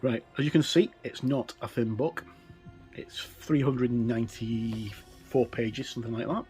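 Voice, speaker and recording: A man's voice: medium pitch, steady tone, measured pace, darkish tone. Middle-aged man presenting, music behind. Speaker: host of a tabletop gaming channel. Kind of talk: casual presenter talk, book look-through. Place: indoors, tabletop overhead shot.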